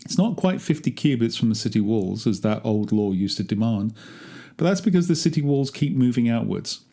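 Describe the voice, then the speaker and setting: A man's voice: mid-range voice, lively intonation, a little fast, vocal fry, mellow. A man reading a dramatic monologue as a wry, grumbling gardener among ancient tombs, chatty and darkly humorous. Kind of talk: first-person dramatic monologue, read aloud.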